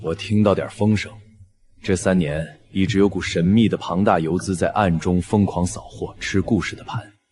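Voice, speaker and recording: An actor playing a cool, calculating and powerful boss in an audio drama, speaking in a controlled, probing, sardonic way. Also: male voice, low-pitched, steady tone, moderate pace, a little mellow, lightly acted. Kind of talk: scripted audio drama acting.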